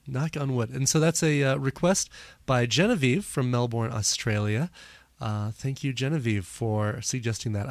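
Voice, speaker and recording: Slightly hoarse man hosting a podcast. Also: medium pitch, no echo. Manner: rambling, many fillers. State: slightly hoarse, losing his voice. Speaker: podcast host. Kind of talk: casual chat.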